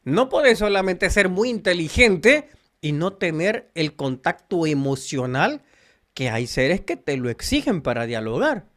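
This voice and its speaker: Salvadoran man preaching, lively pitch. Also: unhurried. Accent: Salvadoran Spanish. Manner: earnest, preachy, addresses listeners directly. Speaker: spiritual channel host. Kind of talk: monologue to viewers.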